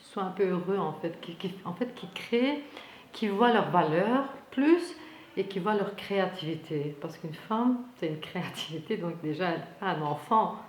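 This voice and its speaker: A woman's voice: lowish voice, expressive, normal speed, slight background noise. A woman, speaking as a group member in a documentary interview. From Belgium. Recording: roomy echo, faint music underneath.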